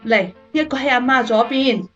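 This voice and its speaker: A woman plays a doting mother fussing tenderly over her grown sons. Her voice is high, in radio drama style, slightly muffled with faint music underneath. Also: normal speed, close to an everyday voice. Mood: tender, cheery. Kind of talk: Cantonese radio drama acting. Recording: slightly dull sound, faint music behind.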